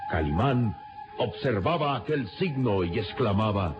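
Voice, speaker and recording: A man's voice: fairly low pitch, lively intonation, unhurried. A man narrating a radio serial from a script in a dramatic style. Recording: dull lo-fi radio serial recording. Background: loud music.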